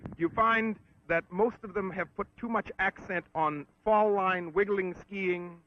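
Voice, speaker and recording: A man conducting a formal, polite sports interview on a 1960s film, with a slightly halting delivery. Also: high-pitched. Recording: muffled 1960s film soundtrack.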